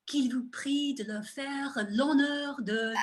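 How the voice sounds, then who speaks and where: A woman's voice: high voice, takes it slowly. A woman playing a fussy, ceremonious French interpreter with a French accent in a period stage comedy. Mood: ceremonious, insistent. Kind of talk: theatrical period comedy play reading.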